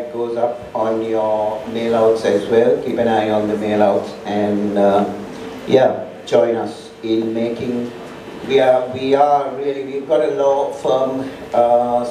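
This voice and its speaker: Male meetup host, rambling announcements, mellow voice, echoey far-off sound. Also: mid-range voice, a little hiss or hum. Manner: rambling, restarts often, enthusiastic. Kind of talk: live event announcements. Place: large dark event hall, at a lectern. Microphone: handheld mic through PA, filmed from far. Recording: echoey hall, distant camera.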